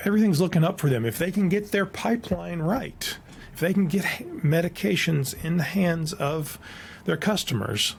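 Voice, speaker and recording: A man's voice: a little high, lively intonation, moderate pace, creaky, slight background noise. A man hosting an online explainer, plain-spoken and informal, moving between reading aloud and commentary. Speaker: online video host.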